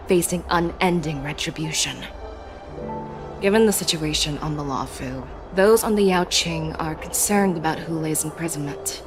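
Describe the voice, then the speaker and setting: Low-voiced, composed female military envoy. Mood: grave, formal. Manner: formal, articulate delivery. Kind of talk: video game voice acting.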